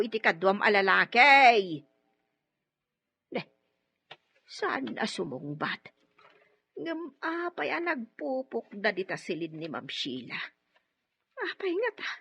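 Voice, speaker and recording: An actress with an Ilocano Filipino accent playing a flustered, long-suffering maid in a radio drama, given to wailing and grumbling asides. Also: female voice, on the high side, sing-song, slow pace, long pauses, bright, forceful tone, husky, faint music behind, full character voice. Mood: suspicious, hesitant. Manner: exclaiming, wailing, muttering asides.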